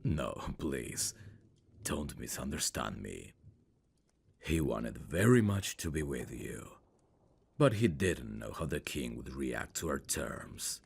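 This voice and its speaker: A man plays a stern royal prince in an intimate fantasy audio drama. His voice is extremely low and gravelly, with slow, formal phrasing that swings expressively. He sounds condescending and used to command. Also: sing-song, heavily acted. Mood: composed, formal.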